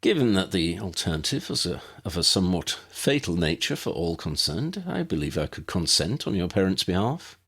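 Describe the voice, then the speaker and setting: A man acts out a wry, self-doubting uncle in a fantasy audiobook. He speaks formally in long, measured phrases, with a husky edge and varied intonation. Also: low-pitched, very animated, fairly bright tone, heavily acted. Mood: formal, consenting.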